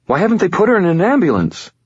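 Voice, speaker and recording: A man's voice: medium pitch, big pitch swings, moderate pace, husky, voice pushed up. A man voicing a protective, worried young woman in an audiobook, earnest and soothing in manner. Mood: worried, protesting. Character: protective, worried young woman comforting her injured friend. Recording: dull, roomy recording.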